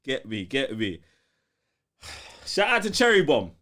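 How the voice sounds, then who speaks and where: A man's voice: medium pitch, takes it slowly. A man with a London accent hosting a live podcast in casual, slangy banter. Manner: slangy, animated. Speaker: podcast host.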